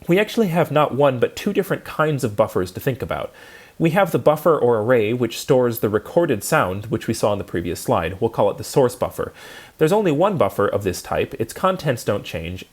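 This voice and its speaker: A man giving a prepared technical lecture, explaining methodically in clearly structured phrases. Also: medium pitch, a little mellow. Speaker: lecturer in audio programming. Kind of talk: prepared instructional lecture narration.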